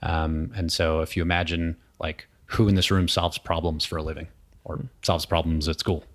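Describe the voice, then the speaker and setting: A man's voice: sits low, little pitch movement, normal speed, slight background noise. A middle-aged man from New York, a guest in an interview, explaining his work in a thoughtful way, with many ums. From New York, USA. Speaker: guest, innovation consultant. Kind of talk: conversational interview. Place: indoor room with a small audience.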